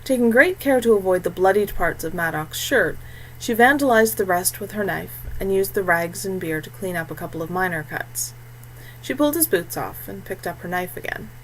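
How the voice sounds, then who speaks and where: A woman's voice: fairly low pitch, animated, moderate pace, a little hiss or hum, low-quality recording. A woman reading scripted fantasy fiction as an audiobook narrator.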